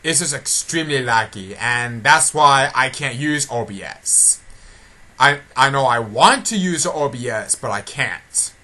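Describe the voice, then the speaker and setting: A man's voice: medium pitch, animated, a little slow, sharp tone. A man giving a casual, rambling update for his video channel, often repeating himself and restarting sentences. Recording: noticeably noisy amateur home recording.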